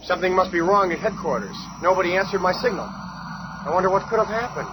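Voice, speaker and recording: An actor playing a brash, excitable young hot-headed superhero in a 1970s radio play. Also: male voice, sits high, fast talker, lightly acted. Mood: alarmed. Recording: noisy old 1970s radio recording.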